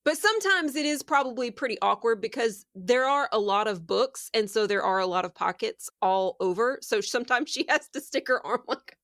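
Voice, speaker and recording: A woman's voice: on the high side, animated, normal speed, few pauses, fairly bright tone, no room sound. Woman chatting casually, dry close sound. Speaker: player in a tabletop game podcast. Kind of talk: casual chat.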